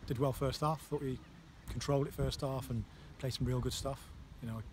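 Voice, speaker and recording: A man, a football manager giving a post-match interview outdoors at night, halting with many fillers. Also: medium pitch, fairly even intonation, a little mellow. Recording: outdoor noise behind voice.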